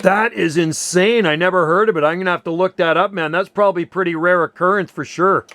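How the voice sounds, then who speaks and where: A man's voice: high-pitched, brisk, heavy background noise. A man in his sixties chatting casually over ham radio, enthusiastic and calling people "man" often. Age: 60s. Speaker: ham radio operator, channel host. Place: home on a Pacific Northwest island.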